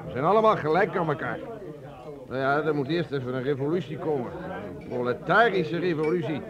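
A man's voice: fairly high pitch, animated, brisk, close to an everyday voice. Guy acting drunk lovesick revolutionary in a radio play, ranting, muffled sound, big noisy crowd. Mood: fervent, tipsy. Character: drunken amorous revolutionary man, pleading and ranting. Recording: dull radio play, loud crowd behind.

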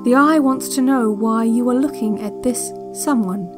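An actress playing a calm, eerily watchful guide on a relaxation cassette, speaking in measured, soothing, deliberate instructions. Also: female voice, on the high side, music in the background, close to an everyday voice. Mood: quietly ominous. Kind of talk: slow hypnotic relaxation-tape narration in a fiction podcast.

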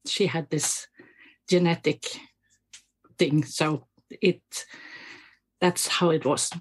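A woman's voice: deep voice, slow, husky, low-quality recording. A Swedish woman in her fifties with a Swedish accent, a doctor guest speaking reflectively and hesitantly. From Sweden. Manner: hesitant, many fillers and restarts, reflective. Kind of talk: interview conversation.

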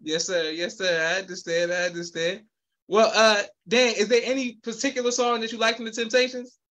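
A man's voice: sits high, steady tone, brisk, pushed and bright. A man hosting a podcast over a video call, upbeat and polite, often saying yes sir. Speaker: podcast host. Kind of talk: casual interview. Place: home room with poster wall.

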